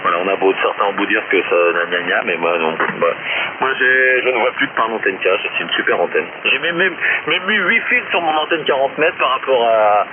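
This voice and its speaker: A man's voice: medium pitch, lively intonation, brisk. A man chatting informally and self-deprecatingly as an amateur radio operator over a shortwave link. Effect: shortwave radio transmission. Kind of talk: casual chat over ham radio. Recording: narrow-band shortwave radio with clear static.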